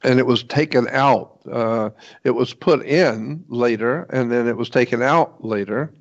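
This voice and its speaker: A man giving an informal explanatory lecture in a halting, rambling way, repeating points for emphasis. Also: medium pitch. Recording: dull, lo-fi muffled audio.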